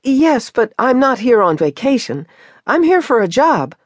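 A reader voicing an eager young American woman from the Midwest, speaking brightly and earnestly. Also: fairly high pitch, sing-song, measured pace, breathy edge, no room sound, studio quality, voice pushed up, exaggerated voice.

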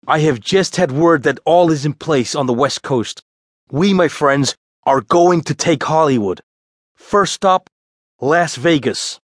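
A man plays a proud male gang boss in an audiobook story. He speaks up and out in a higher register than the narration, rallying and boasting like someone used to giving orders. The recording is dry. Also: expressive, measured pace, dry recording. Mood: grand, excited. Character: bossy, proud male ringleader of lookalike troupe.

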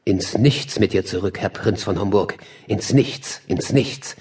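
An actor playing a commanding, sceptical sovereign in a classical verse drama, with imperious, declamatory delivery. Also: male voice, fairly low pitch, a little slow, a little mellow, husky, distant mic. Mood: stern. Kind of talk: classical German verse drama audiobook acting.

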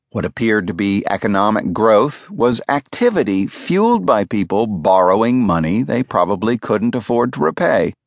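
A man reads a nonfiction audiobook in a measured, clearly phrased storytelling manner, close to the microphone. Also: fairly low pitch, dry recording. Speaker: audiobook narrator. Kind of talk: scripted nonfiction audiobook narration. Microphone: close studio microphone.

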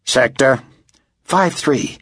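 A man's voice: medium pitch, very slow, a bit bright, husky, dry recording, studio quality, pitched above the performer's own voice, heavily acted. A man voicing a tense, impatient male director in an audiobook, barking curt demands. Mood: urgent, demanding. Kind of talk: audiobook character voice.

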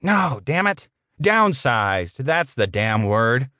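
A man's voice: medium pitch, big pitch swings, takes it slowly, pushed and bright, no room sound, clean studio sound, pitched above the performer's own voice, full character voice. A man voicing a short, sleazy middle-aged office boss in an audiobook, smug, patronizing and blustering.

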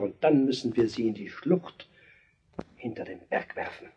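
Biblical radio drama: weary, resolute king, unhurried monologue, faint noise behind. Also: lowish voice, darkish tone. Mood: commanding, resolute. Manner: deliberate, brooding monologue. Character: war-weary king planning battle, brooding, resolute. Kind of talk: German biblical radio play acting.

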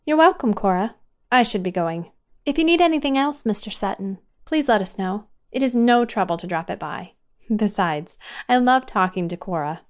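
A woman's voice: medium pitch, expressive, normal speed, lightly acted. A woman playing a kindly, polite store worker in an audio drama. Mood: obliging, amused. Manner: polite, gentle teasing.

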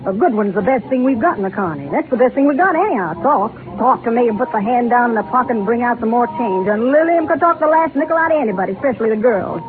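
An actress playing an older, folksy Southern carnival owner who rambles and confides like a storyteller in a 1930s radio drama. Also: female voice, a little high, expressive, quick pace, few pauses, crowd noise, lightly acted. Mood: confiding. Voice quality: folksy, rural speech. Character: folksy aging Southern carnival show owner, wistful, garrulous woman. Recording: tinny scratchy 1930s radio broadcast. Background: music.

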